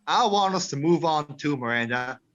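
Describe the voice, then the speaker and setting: Through slightly dull audio, a man acts a kindly old ghost in a radio play. His voice is bright and lively in pitch, and he persuades gently. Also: normal speed. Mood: gently persuasive. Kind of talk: amateur radio drama acting. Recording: dull lo-fi sound.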